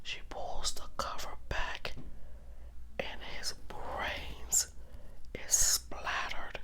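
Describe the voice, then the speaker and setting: Slow, whispering ASMR woman storyteller. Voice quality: breathy whisper. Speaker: storytelling channel host.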